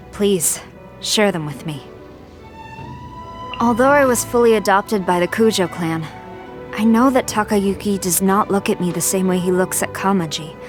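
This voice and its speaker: A woman playing a stern, loyal military general in a video game, speaking in a formal, measured, earnest way. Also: medium pitch, close to an everyday voice. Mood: wistful. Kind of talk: scripted game character voice lines. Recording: music behind the voice.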